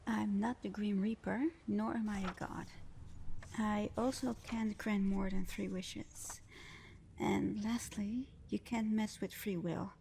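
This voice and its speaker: A mischievous, ancient female genie acted by a woman speaks in a soft, mellow voice at an unhurried pace. She is flirty, sly and teasing, playing directly to the listener in an ASMR roleplay. Noticeable background noise sits under the voice. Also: mid-range voice, steady tone, gravelly. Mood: matter-of-fact. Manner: teasing, seductive, slow and playful, often dropping to whispers.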